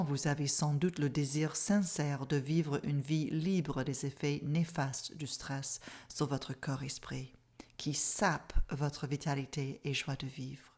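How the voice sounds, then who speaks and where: Calm canadian lady w english accent teaching mindfulness, low voice, slow and gentle. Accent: English-speaking Canadian. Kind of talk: online masterclass presentation.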